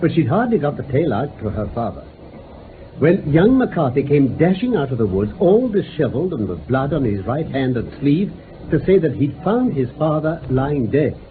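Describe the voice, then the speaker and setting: Precise british gentleman detective, swooping expressive delivery, crackly narrow old radio sound. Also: a little high, normal speed. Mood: explaining. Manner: precise, authoritative, expository. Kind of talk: radio drama acting. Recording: muffled noisy vintage radio recording.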